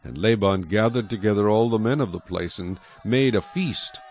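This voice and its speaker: A man narrating scripture dramatically, in an expressive storytelling manner. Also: lowish voice, normal speed, slight background noise.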